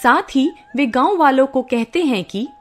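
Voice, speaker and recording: Female narrator for children's moral tales: high, animated, expressive scripted read. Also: measured pace. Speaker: storyteller. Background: faint background music.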